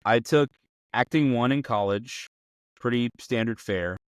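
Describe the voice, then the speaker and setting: A man speaks as the host of a role-playing advice show. His voice sits at an average pitch and he explains things conversationally. The sound is dry, with very little room in it. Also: unhurried, dry recording.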